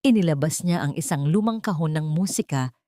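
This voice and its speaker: A woman's voice: deep voice, expressive, a little fast, warm tone, dry recording, clean studio sound. A woman narrating a scripted story in a dramatic storytelling style.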